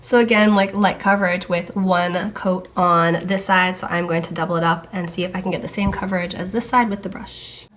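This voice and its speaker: A young woman, slightly hoarse from a cold, chatting casually as she tries out makeup products on camera. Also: on the low side, steady tone, fairly quick, hardly any pauses, fairly bright tone. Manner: chatty, talking while applying makeup. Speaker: beauty vlogger.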